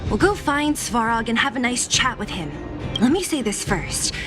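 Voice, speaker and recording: A woman's voice: fairly high pitch, expressive, normal speed, music in the background, lightly acted. A woman playing a stern, sensible young comrade in a video game, skeptical and wearily scolding. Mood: resigned.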